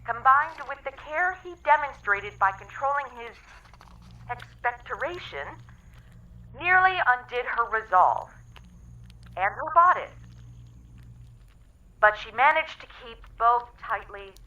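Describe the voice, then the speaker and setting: A woman's voice: a little high, animated, takes it slowly, pauses often, bright, forceful tone, far from the mic, highs cut off, full character voice. A woman playing an earnest crew member in a sci-fi comedy audio drama, theatrical in delivery. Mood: melodramatic reading. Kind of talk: audio drama acting, melodramatic reading aloud.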